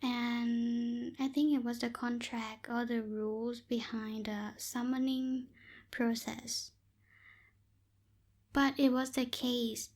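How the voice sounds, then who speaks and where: A young woman voicing a bossy but secretly caring tsundere witch in a close-miked ASMR roleplay, softly scolding and a little hesitant. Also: on the high side. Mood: protective, matter-of-fact. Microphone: close binaural microphone.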